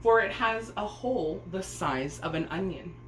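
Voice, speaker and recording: A woman's voice: mid-range voice, normal speed, a bit bright, natural voice. Woman playing a timid, put-upon storybook girl. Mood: meek. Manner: pleading, breathless gasps. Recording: roomy echo, faint noise.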